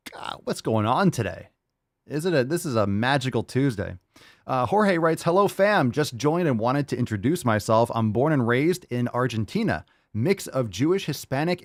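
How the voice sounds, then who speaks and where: A man's voice: medium pitch, dry recording, clean studio sound. Hyped, foul-mouthed podcast host man. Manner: hyped, profane, encouraging. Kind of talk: casual podcast chat reading listener posts.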